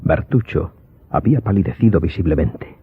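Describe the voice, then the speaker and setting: A man's voice: low-pitched, expressive, normal speed, breathy edge, dry recording. A man giving scripted literary narration for an audio drama. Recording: muffled, music playing behind.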